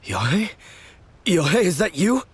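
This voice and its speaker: A man playing a weary, shaken, self-doubting young man in a video game, husky and weak. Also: sits high, very animated, unhurried, heavily acted. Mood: dazed. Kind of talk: scripted video game voice acting.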